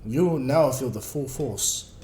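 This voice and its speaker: A man voicing a vengeful, haughty alien tyrant in a slow, pompous, threatening way, retold anime style. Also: medium pitch, a little mellow, natural voice. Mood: menacing, haughty. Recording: echoey room, music behind.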